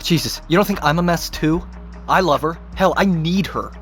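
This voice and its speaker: A man's voice: on the high side, moderate pace, a bit bright, faint music behind, natural voice. A young man with an American accent, acting in an audio drama as a troubled, sarcastic, loyal teenage boy who talks in casual slang. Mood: exasperated.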